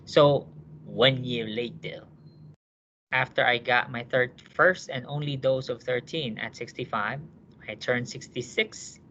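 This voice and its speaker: A male nursing instructor lectures in a bright, forceful voice. He works through cases by asking the class questions and checking that they follow. The classroom recording sounds dull and lo-fi. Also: mid-range voice, a little slow, a little hiss or hum.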